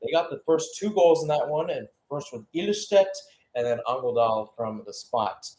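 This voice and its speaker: A man's voice: medium pitch, animated, moderate pace, darkish tone, low-quality recording. A man giving live radio-style play-by-play commentary on a football match, announcer-like and speaking in short phrases.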